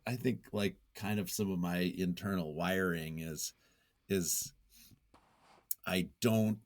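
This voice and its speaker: A low-pitched man speaks as a guest in a relaxed interview. He thinks out loud, feeling his way through sentences in a hesitant, self-effacing manner. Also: takes it slowly. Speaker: podcast guest, nonfiction writer. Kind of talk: casual reflective interview talk.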